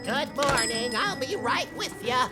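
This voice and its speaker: A woman's voice: sits high, animated, a little slow, over loud music. A woman playing a kindly, fluttery moth apothecary with a folksy, fussing manner in a family audio drama. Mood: cheerful greeting.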